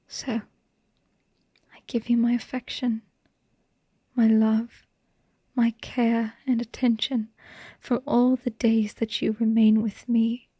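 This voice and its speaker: Woman voicing a loving vampire wife, melancholy, intimate ASMR roleplay, breathy hush, even pitch, close dry sound. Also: dry recording. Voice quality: breathy, hushed whisper. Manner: slow, tender, halting delivery with lingering pauses. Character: devoted, melancholy female vampire wife, tender and haunted.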